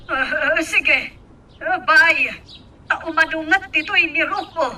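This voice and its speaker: A woman's voice: sits high, takes it slowly, bright, forceful tone, distant mic, exaggerated voice. Woman playing a fretful, piercing-voiced anxious mother. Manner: hesitant, fretful. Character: anxious, frightened mother on a journey. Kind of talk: Ilocano radio drama acting.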